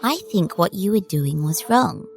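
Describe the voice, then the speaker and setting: A woman playing a stern, precocious little girl in an audio horror drama, speaking in a formal, measured way. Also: on the low side, animated, creaky. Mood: cool, accusing. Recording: music under the voice.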